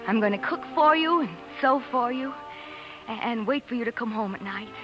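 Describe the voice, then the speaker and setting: An actress playing a devoted, spirited young wife with affectionate, playful delivery in a 1940s radio drama. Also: female voice, fairly high pitch, expressive, normal speed, lightly acted. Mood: tender, determined. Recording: 1940s radio recording with music.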